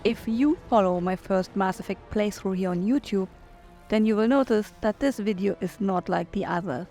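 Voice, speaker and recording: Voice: medium pitch, moderate pace, a little mellow, soft background music. A game video commentator speaking casually, with wry, self-mocking remarks.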